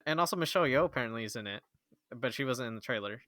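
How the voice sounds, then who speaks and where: A bright, forceful male voice on a movie podcast, recorded close and dry. He gets excitable and trips over restarts as he rushes to make his point. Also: medium pitch, no echo. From Filipino and Chinese heritage. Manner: excitable, stammers and restarts when eager. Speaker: podcast co-host. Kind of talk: casual movie review chat.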